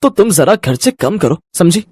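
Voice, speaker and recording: An actor playing a practical young husband in a cartoon family story, speaking in a reasoning manner. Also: male voice, high-pitched, sing-song, normal speed, darkish tone, slightly rough, no echo, clean studio sound, exaggerated voice. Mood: exasperated. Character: young husband, practical, exasperated about money. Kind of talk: Hindi cartoon story dialogue.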